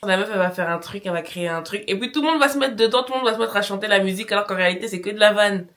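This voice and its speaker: A woman who has known the host since childhood, telling stories about her in a slangy, animated way. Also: mid-range voice, racing. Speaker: close friend from childhood. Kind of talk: casual testimonial.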